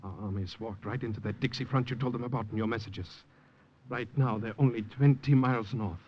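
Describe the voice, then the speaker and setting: A man's voice: medium pitch, close to an everyday voice. Man playing an urgent resistance leader, muffled old radio. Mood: urgent, assured. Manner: insistent, brisk, assured. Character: urgent, confident partisan leader rallying a rescued spy. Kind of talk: 1950s radio drama acting. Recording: muffled noisy vintage radio recording.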